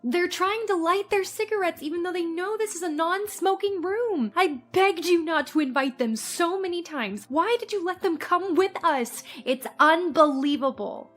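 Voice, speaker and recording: A woman's voice: extremely high pitch, normal speed, hardly any pauses, a bit bright, voice pushed up. A woman voicing an exasperated, indignant mother and wife in a dramatized story, speaking emphatically. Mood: upset, exasperated.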